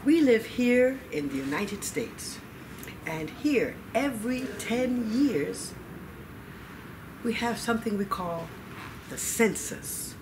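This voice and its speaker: Slow, animated older woman storyteller. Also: a little high. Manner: deliberate, animated, dramatic pauses. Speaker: library storyteller. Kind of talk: children's story time, expressive read-aloud. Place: library children's room, indoors. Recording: distant mic, noisy room ambience.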